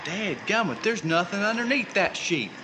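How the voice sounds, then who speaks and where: A man playing a bellyaching, superstitious country sidekick with a rural Southern American accent in an excitable, folksy radio comedy style. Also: sits high, normal speed. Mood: frightened. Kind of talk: old-time radio comedy acting. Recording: noisy old-time radio style recording.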